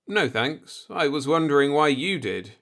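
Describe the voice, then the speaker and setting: A man's voice: fairly high pitch, very animated, unhurried, creaky, a bit bright, voice pushed up, exaggerated voice. A man voicing a stern, distrustful young spaceship captain in a sci-fi audiobook, blunt and sardonic but earnest. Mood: testy.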